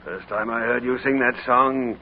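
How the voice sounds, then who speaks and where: An actor playing a gruff but affectionate old uncle in a 1940s radio drama, muttering a little to hide his tenderness. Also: mid-range voice, moderate pace, natural voice. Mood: gruffly tender. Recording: tinny, noisy 1940s radio broadcast.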